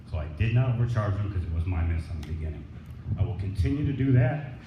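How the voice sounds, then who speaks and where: A man giving an informal, plainspoken acceptance speech as a business owner. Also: on the low side. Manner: plainspoken, colloquial. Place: echoey indoor room at a presentation event. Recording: echoey, dull, noisy room recording.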